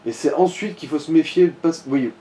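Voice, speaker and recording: A man's voice: a little high, brisk, warm tone. A man chatting casually as he gives directions to a player during a let's play.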